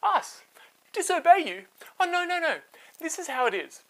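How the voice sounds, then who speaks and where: A man's voice: very high voice, big pitch swings, moderate pace, voice pushed up, exaggerated voice, poor audio. A man voicing a flustered female midwife in a children's story, protesting with feigned innocence as she makes excuses. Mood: innocent protest.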